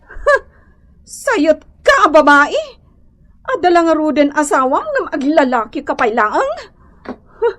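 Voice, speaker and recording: Shrill, jealous nagging wife. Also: female voice, very animated, slow pace, pauses often, a bit bright, full character voice. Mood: jealous fury. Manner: scolding, accusatory, emotional. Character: shrill, jealous, nagging wife who accuses her husband. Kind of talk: Ilocano comedy radio drama acting.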